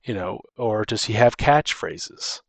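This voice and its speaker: A man's voice: fairly low pitch, no room sound. A man co-hosting a casual discussion, talking in a rambling way and often restarting his sentences halfway through. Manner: rambling, halting, restarts sentences mid-thought. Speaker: podcast co-host.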